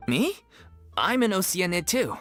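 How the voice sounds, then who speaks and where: A man playing a sincere, earnest young troupe actor in a video game, speaking in a gentle, heartfelt way. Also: high-pitched, sing-song, measured pace, exaggerated voice. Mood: friendly, solemn. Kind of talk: video game character acting. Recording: game music behind.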